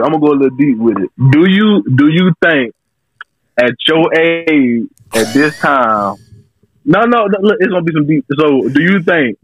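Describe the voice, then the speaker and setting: A man in his twenties with a deep, thick voice, calling in to chat casually, halting and repeating his phrases. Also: dry recording. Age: 20s. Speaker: caller to a podcast. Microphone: phone call into the show. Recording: dull, lo-fi call audio.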